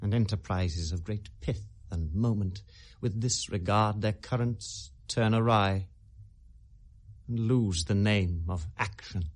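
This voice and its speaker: Brooding young prince, British accent, bitter, volatile, theatrical verse delivery, classical radio drama. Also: male voice, fairly low pitch. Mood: brooding, hushed. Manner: theatrical, deliberate verse delivery swinging to outbursts.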